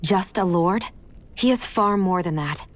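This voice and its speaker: A woman's voice: medium pitch, measured pace, lightly acted. An actress playing a prickly, haughty young woman fighter in a fantasy video game, curt and aloof. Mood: stern warning. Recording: game music under the voice.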